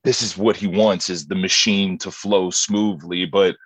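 A man's voice: sits low, steady tone, measured pace, breathy edge, no echo. A man talking casually and reflectively about his acting work, with real enthusiasm, as in a conversational interview. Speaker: voice actor discussing his lead role. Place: remote video call. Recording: dry video-call recording.

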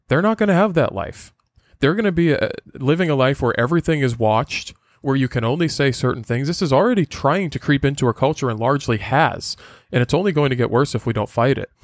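Earnest podcast host, dry close sound. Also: male voice, mid-range voice, no echo. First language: Portuguese. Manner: earnest, lecturing with frequent filler sounds.

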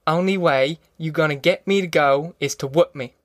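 A man's voice: on the high side, moderate pace, dry recording, studio quality, lightly acted. A man voicing a fierce, stubborn middle-aged rural mother with a rural Southern American accent, in an audiobook. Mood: defiant. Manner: folksy dialect, defiant, threatening. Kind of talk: audiobook character acting.

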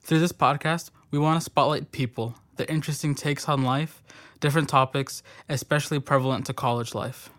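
A young man in his twenties, co-hosting a college podcast in a relaxed, friendly way with casual fillers. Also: medium pitch, brisk. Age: young adult. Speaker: podcast co-host.

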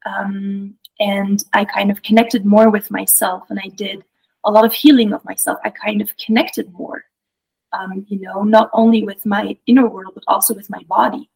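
A woman's voice: medium pitch, gravelly, slightly rough, rough recording. A young adult woman from Belgium, a clinical psychologist, telling her story as an interview guest, hesitant with many fillers and restarts. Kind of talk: casual interview talk.

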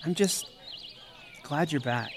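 Man playing an earnest, higher-voiced devoted best friend. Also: slow, slight background noise. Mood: tender concern. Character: devoted, excitable, caring young male friend. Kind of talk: audio drama acting.

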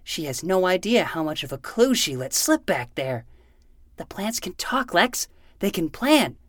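A man's voice: sits high, a bit bright, dry recording, clean studio sound, full character voice. A man voicing an earnest, bossy twelve-year-old boy who talks like a dramatic hard-boiled detective in a fiction podcast. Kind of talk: playful fiction podcast acting.

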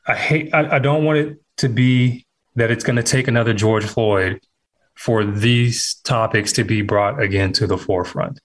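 A man speaking as a podcast guest, earnest and thoughtful, slowing down to stress his points. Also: lowish voice. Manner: measured, thoughtful, slows on key points. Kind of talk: earnest interview talk.

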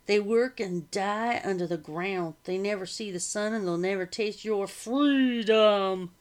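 A woman's voice: medium pitch, unhurried, natural voice. A woman voicing an angry, bitter working man in a storybook reading, emphatic and stretching out key words.

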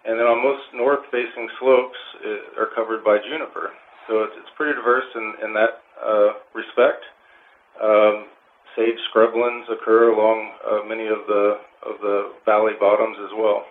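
A man answering interview questions as a park official, explaining in a halting, measured way with technical wording. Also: fairly low pitch, little pitch movement. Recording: phone-like line with clear noise.

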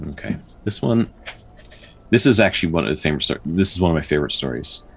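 Deep, husky male storyteller. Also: lively intonation, a bit bright. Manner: measured, pausing delivery when telling the tale. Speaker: storyteller and show host. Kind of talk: slow dramatic folktale narration with casual asides.

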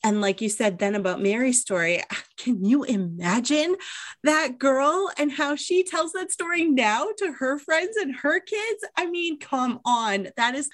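A woman's voice: sits high, lively intonation, unhurried. A woman hosting a podcast in a conversational style, speaking in an affirming, enthusiastic way. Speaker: podcast host.

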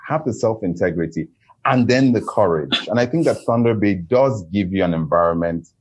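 A man's voice: lowish voice, expressive, fairly quick, slightly rough. A man giving earnest, encouraging advice in an interview, reflective and sincere.

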